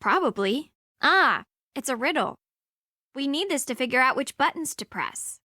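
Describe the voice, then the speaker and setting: Snappy, sarcastic young female adventurer, very high-pitched, forceful, game dialogue. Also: animated, moderate pace, no echo, clean studio sound. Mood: sarcastic, impatient.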